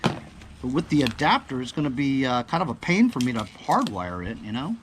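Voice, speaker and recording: A man talks through a product review off the cuff, with ambient noise behind him. He rambles as he thinks aloud and often turns to the viewer with small questions. His pitch sits in an ordinary middle range for a man. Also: very animated, moderate pace. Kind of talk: casual unscripted unboxing chat. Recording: clear background ambient noise.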